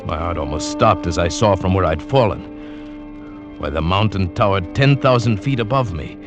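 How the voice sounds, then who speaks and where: An actor narrating as a climber who survived a great fall, telling it dramatically in first person in an old radio drama, with music behind him. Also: male voice, sits low, normal speed, lightly acted. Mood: tense. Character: adult male climber recounting his fall. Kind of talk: 1940s radio acting.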